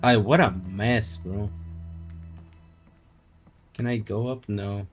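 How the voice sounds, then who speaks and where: A man's voice: on the low side, steady tone, slow pace, soft background music. A man doing casual, musing live commentary as he streams a game, rambling and swearing casually.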